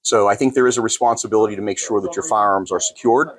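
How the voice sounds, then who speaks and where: An American man answering as a store owner in an interview, explaining things in measured, formal phrasing. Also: fairly low pitch, fairly even intonation, a crowd in the background.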